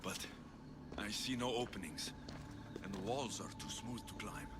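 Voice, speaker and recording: An actor playing a rugged, wry but caring mercenary hero in a video game, with a Greek accent and a blunt, reassuring manner. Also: male voice, fairly low pitch, a little slow, natural voice. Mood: determined. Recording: noisy ambience behind the dialogue.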